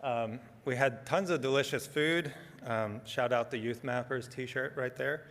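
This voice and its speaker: A soft-spoken middle-aged man giving a relaxed conference talk, with frequent ums as he walks through slides. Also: medium pitch, measured pace, big-room sound. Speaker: conference speaker. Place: conference hall.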